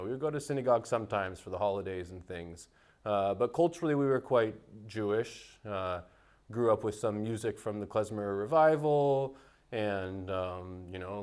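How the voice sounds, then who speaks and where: A man from the United States answers interview questions, thinking aloud with frequent ums and restarts. His voice is smooth and clear, sitting at a middle pitch. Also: darkish tone. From United States (Seattle). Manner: thoughtful, many fillers and restarts. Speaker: interviewee, musician.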